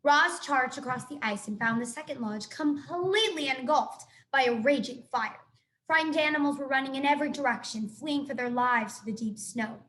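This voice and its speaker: A teacher reading a children's novel aloud to her students in an expressive storytelling manner. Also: high voice, normal speed. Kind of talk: children's book read-aloud.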